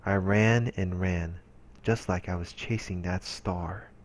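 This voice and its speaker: A man's voice: low-pitched, steady tone, takes it slowly, slight background noise. A man reading visual novel narration aloud as a let's player, in an earnest, dramatic storytelling way.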